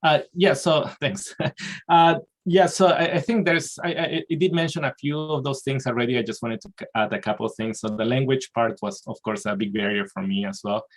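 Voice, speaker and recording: Panelist: man, unhurried and halting, middle pitch, over a video call. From Peru. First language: Spanish. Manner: halting and reflective, with light laughs. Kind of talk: casual panel discussion.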